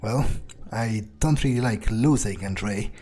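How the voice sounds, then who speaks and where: A man roleplaying a cocky, vindictive vampire in a video game, with halting, improvised lines and free swearing. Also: fairly low pitch, darkish tone, breathy edge. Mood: cocky, vengeful.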